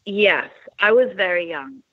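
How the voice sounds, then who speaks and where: A woman from Long Island telling her faith testimony as a radio guest, halting and searching for words. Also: lowish voice, expressive, dry recording, muffled recording. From Long Island, New York.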